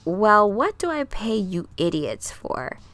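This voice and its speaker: A woman's voice: mid-range voice, sing-song, slow, fairly bright tone, no room sound, soft background music. A woman voicing a scheming comic book villain who urgently orders henchmen around in a commanding manner.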